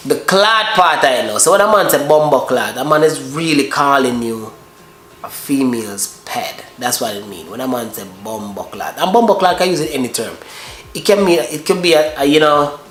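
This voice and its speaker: A man's voice: on the high side, a bit bright, roomy sound, slight background noise. A man from Jamaica with a Jamaican accent, explaining his language on a casual couple vlog and repeating himself while searching for words. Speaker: co-host explaining Jamaican phrases.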